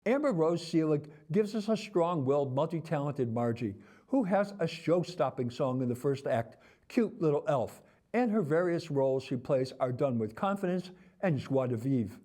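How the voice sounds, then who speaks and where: A man reading a scripted theater review as a critic, with articulate, expressive and theatrical phrasing. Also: on the high side, moderate pace.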